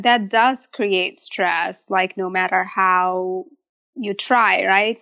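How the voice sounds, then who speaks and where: A woman's voice: mid-range voice, fairly bright tone, lo-fi sound. A woman in her thirties from Egypt, a food blogger talking casually as a guest in an interview.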